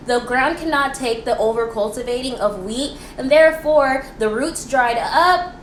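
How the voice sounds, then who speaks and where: Young American woman, student history host. High voice, informal and playfully theatrical, echoey room with faint noise. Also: fairly bright tone. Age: young adult. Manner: playful, theatrical, slangy, with rhetorical questions. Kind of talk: chatty, informal history presentation for a school project.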